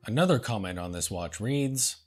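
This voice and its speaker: A man hosting a comedy show, reading product reviews aloud and answering them with deadpan sarcasm. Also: on the low side, faint music behind. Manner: dry, sarcastic, slow and deliberate. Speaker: host.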